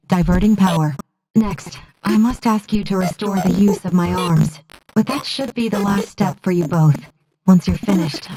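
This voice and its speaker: A woman's voice: mid-range voice, noisy background, close to an everyday voice. Actress as a composed, precise female android officer. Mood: composed, gently attentive. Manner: measured, formal, clinical delivery. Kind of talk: video game voice acting.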